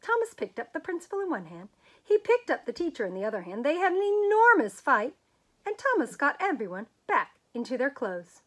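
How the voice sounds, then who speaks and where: Lively, high-voiced woman reading a storybook. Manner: expressive, dramatic emphasis with drawn-out words. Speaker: storyteller reading a children's book aloud. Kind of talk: animated read-aloud of a picture book.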